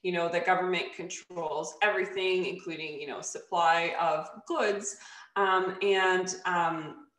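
A woman's voice: fairly low pitch, fairly even intonation, a little slow, fairly bright tone, roomy sound, rough recording. A woman in her thirties, born in Poland, talking as a podcast guest on a video call, thoughtful and full of fillers and restarts. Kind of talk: casual video-call interview. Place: home room, plain light wall. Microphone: desk microphone on a video call.

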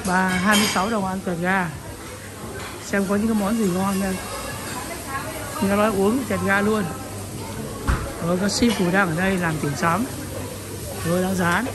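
A woman's voice: medium pitch, steady tone, moderate pace, pauses often. A woman chatting casually as she films her way through a restaurant buffet, slipping English phrases in among her commentary. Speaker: vlogger. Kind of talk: casual walk-through vlog. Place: busy indoor buffet restaurant. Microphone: handheld phone. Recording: loud crowd noise behind.

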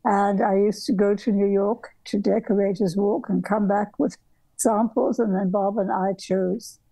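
A woman's voice: mid-range voice, fairly even intonation, a little slow, mellow, no echo, low-quality recording. An older woman from southern Africa, a guest architect, talking in a meandering, reflective way over a video call from her home workroom, with frequent restarts. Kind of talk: reflective conversational storytelling. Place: home bedroom workroom, indoors.

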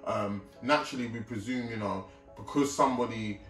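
A man's voice: fairly low pitch, fairly quick, fairly bright tone. Talkative young man, distant roomy sound. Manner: addresses the audience directly, often asks "you know what I'm trying to say?". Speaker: co-host. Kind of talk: casual podcast chat. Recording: roomy sound, mic at a distance, faint music.

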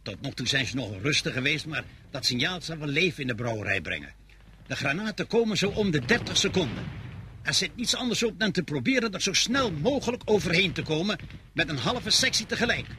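A dutiful male lieutenant in a radio war play. His voice is bright and forceful, with a lively range and crisp military delivery. The old recording has a little noise. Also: on the high side, a little fast. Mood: dutiful, alert. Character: dutiful male lieutenant, front-line guide. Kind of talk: radio drama acting.

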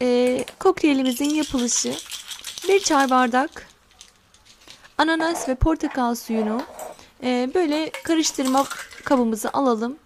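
High-voiced woman explaining a recipe. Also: slight background noise. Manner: friendly, addresses viewers as friends; slow, careful instructions. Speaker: home cooking channel host. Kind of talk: step-by-step recipe voice-over. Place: home kitchen counter.

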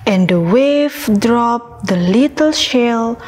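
Very slow woman telling a children's story. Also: fairly high pitch, lively intonation, a little mellow. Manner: slow, deliberate storytelling with repeated phrases drawn out. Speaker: puppet show storyteller. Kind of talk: scripted children's story narration.

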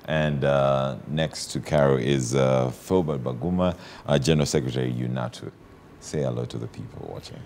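A man hosts a breakfast television talk show from a studio set. His voice sits extremely low with a gravelly fry. He prompts his guests in an easygoing way and laughs readily. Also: normal speed, few pauses, slight background noise. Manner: laughs often, relaxed prompting. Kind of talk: morning TV interview.

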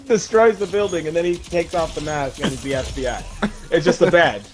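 A man's voice: a little high, sing-song, moderate pace, poor audio. A man chatting casually and reacting in a giggly, rambling way with swearing.

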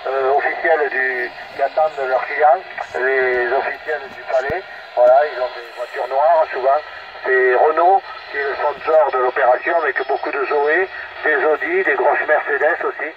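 A man chatting casually over CB radio, rambling and hesitant, repeating himself as he goes. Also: mid-range voice. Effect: heard over CB radio. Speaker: radio amateur operator. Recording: noisy muffled CB radio transmission. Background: radio static.

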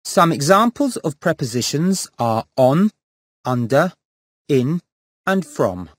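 A man reading answers for a textbook audio track, enunciating slowly and clearly. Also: fairly high pitch, animated, no room sound, clean studio sound. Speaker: recorded model speaker.

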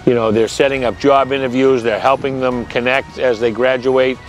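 Middle-aged man of average pitch. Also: flat delivery, moderate pace, soft background music, low-quality recording. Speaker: event participant. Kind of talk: casual interview. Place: outdoors on a marina dock.

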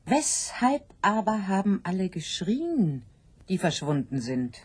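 A woman's voice: mid-range voice, very animated, takes it slowly, breathy edge, heavily acted. A woman voicing an anxious, doubting sow in a literary radio play, with a brooding, dramatic delivery. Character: anxious, doubting sow pondering her fate.

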